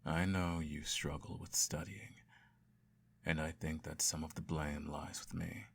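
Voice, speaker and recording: A man in a scripted bedtime roleplay, speaking in a calm, measured way with a husky voice and dropping at times into whispers. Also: extremely low pitch. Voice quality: gravelly, husky. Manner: calm, measured, softly murmuring, drops into whispers. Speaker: emperor parent in an audio roleplay. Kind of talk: scripted audio roleplay, soft bedtime storytelling.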